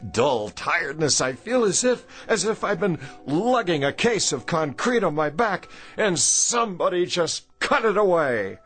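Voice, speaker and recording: An actor in a radio drama playing an awestruck, frightened husband who is growing younger, talking excitably in halting, repeated phrases. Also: male voice, sits high, exaggerated voice. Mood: amazed, elated.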